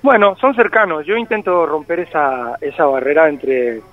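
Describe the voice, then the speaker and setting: A man's voice: on the high side, moderate pace. A singer-songwriter in his forties with a slight Argentine-tinged Spanish accent, talking reflectively in a phone interview with many hesitations. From born in Spain. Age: 40s. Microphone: telephone. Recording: tinny phone line, dry.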